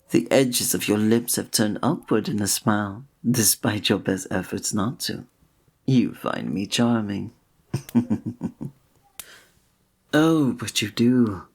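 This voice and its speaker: Man playing a husky, flirtatious fantasy innkeeper. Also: fairly low pitch, full character voice. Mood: teasing, earnest. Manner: formal, old-fashioned, teasing, suave diction. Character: flirtatious, courteous male innkeeper in a fantasy village. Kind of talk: scripted ASMR roleplay addressed to the listener.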